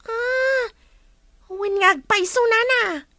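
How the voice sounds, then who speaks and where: A woman playing an inquisitive, gossipy young village woman in a radio drama, talking in an animated, questioning way. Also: extremely high pitch, slow, no echo, clean studio sound, exaggerated voice. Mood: probing.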